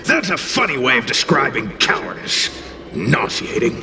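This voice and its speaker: A scornful little game demon. The voice is creaky and leaps dramatically up and down, brash and theatrical. Noticeable background noise runs underneath. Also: male voice, mid-range voice, very animated, normal speed. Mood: sneering. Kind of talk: video game voice acting.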